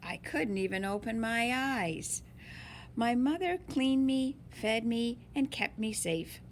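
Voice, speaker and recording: A woman reading a picture book aloud in an expressive, deliberate way for young listeners. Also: fairly high pitch, slight background noise. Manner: slow, deliberate, animated phrasing for young listeners. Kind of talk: expressive children's read-aloud.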